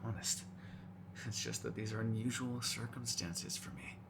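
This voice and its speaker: A man voicing a teasing, sarcastic vampire with a gravelly, husky voice in an ASMR roleplay. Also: mid-range voice, big pitch swings, fairly quick, background noise. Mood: low, confessing. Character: snarky, teasing male vampire captive, predatory yet fond. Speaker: voice actor in audio roleplay.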